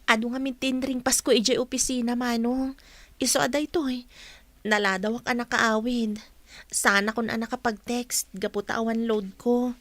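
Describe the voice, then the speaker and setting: An actress playing a shy, hardworking young woman office worker in an Ilocano radio drama, speaking softly and modestly. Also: a little high, steady tone, normal speed, dry recording, natural voice. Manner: soft-spoken, modest.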